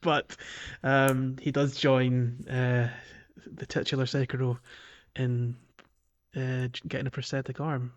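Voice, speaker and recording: A man with a medium-pitched voice talks casually as a podcast co-host. His delivery is hesitant and rambling, circling round a point as in relaxed gaming chat. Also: slow pace, muffled recording.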